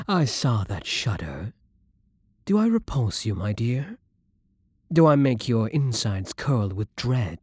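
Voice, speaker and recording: A man's voice: medium pitch, darkish tone, no room sound, studio quality, exaggerated voice. A man playing a suave, sadistic vampire lord in an intimate ASMR roleplay, courtly, teasing and menacingly polite. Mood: menacing, amused.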